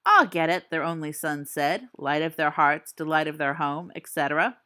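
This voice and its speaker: A woman's voice: low voice, normal speed, pushed and bright, voice pushed down. A woman voicing a teen wizard boy telling a ghost story. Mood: storytelling. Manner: theatrical storytelling, dry asides. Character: teen boy wizard spinning a spooky tale.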